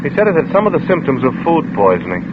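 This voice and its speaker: An actor playing a glib, flippant charmer under suspicion in a vintage radio drama, with breezy sarcastic banter. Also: male voice, fairly high pitch, big pitch swings, fast talker. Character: glib, charming, flippant male suspect, smoothly teasing. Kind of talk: 1940s-50s radio drama acting. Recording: noisy, tinny old radio recording.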